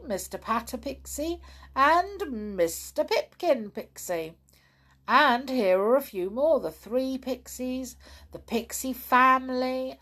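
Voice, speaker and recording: A woman's voice: fairly high pitch, gravelly, fairly bright tone, pitched above the performer's own voice, heavily acted. A woman doing a jolly village postman.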